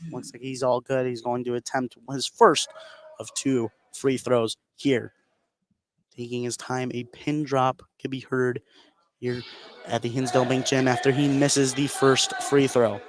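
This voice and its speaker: A man calling live basketball play-by-play for radio, an excitable announcer whose voice climbs toward shouting on big plays. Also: mid-range voice, unhurried. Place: courtside in a school gym. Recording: clear gym noise behind the voice. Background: gymnasium ambience.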